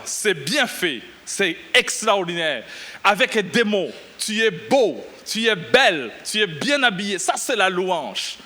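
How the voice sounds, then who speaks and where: A middle-aged pastor from Burundi preaching a lively sermon, exclaiming and shouting at the peaks. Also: male voice, high-pitched. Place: church.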